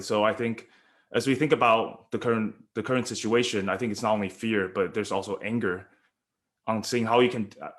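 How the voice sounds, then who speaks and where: Lowish man telling a personal story earnestly. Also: a bit bright. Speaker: panelist. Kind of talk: personal story on a video call.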